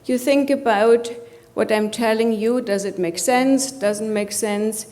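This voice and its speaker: A woman's voice: on the high side, steady tone, takes it slowly, a little mellow. A woman from Germany with a German accent, giving a motivational conference presentation and putting rhetorical questions to the audience. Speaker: conference speaker.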